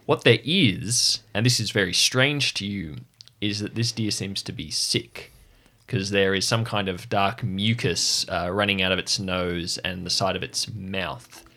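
A man narrating a tabletop role-playing game as game master, in a measured, descriptive way. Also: sits low, pushed and bright.

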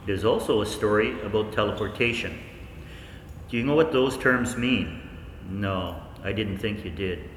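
A man playing a haunted researcher looking back, narrating a 1940s radio play in an intimate, deliberate, ominous way. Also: fairly low pitch, a bit bright. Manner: intimate, deliberate, knowing, ominous direct address. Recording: echoey hall, long reverb, faint noise.

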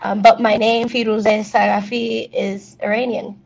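A woman's voice: on the high side, flat delivery, gravelly, a little mellow, poor audio. A young woman whose first language is Spanish, chatting as an interview guest with plenty of fillers. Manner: chatty, many fillers, quick laughs. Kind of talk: casual interview chat.

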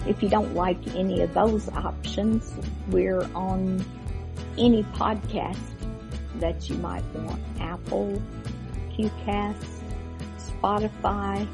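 A woman hosting a podcast with casual chat, rambling and halting as she introduces the show. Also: mid-range voice, fairly even intonation, gravelly. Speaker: podcast host. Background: guitar music.

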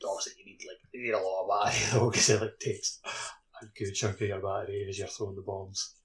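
A man's voice: lowish voice, little pitch movement, quick pace. A man on a podcast chatting casually about a video game, peppering his talk with "like". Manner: frequent filler "like". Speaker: podcast host.

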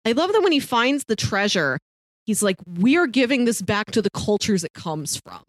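A woman's voice: on the high side, very animated, normal speed, no room sound, studio quality. A woman co-hosting a podcast in casual film discussion, opinionated in how she talks. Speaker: podcast co-host.

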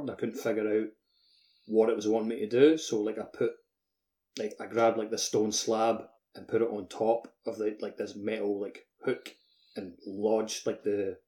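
A man with a fairly low voice in casual gaming conversation. He talks as a podcast co-host in a halting, informal way full of filler words. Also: fairly even intonation, mellow. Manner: halting, peppered with "like". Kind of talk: casual video game chat.

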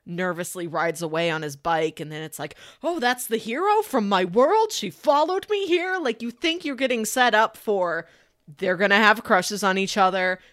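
Female podcast co-host, expressive and playful, swooping intonation, dry studio-tight sound, conversational. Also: medium pitch, normal speed, dry recording. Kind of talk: casual podcast banter.

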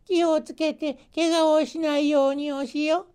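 A man's voice: very high voice, little pitch movement, moderate pace, voice pushed up. A man voicing a kindly, fussing old grandmother in a storybook folk tale. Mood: concerned. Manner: doting, gently fussing.

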